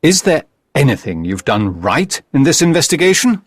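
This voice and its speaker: Storybook detective, read by a man: sardonic, brisk, superior, mid-pitched voice with animated delivery. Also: dry recording. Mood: scolding. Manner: curt, superior, dry.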